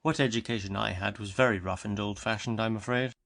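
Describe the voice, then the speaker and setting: A calm, educated policeman, played by a man, speaking with slow, solemn composure. His voice sits low and carries a gravelly rasp. Every sentence comes out measured and unhurried. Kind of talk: audiobook character reading.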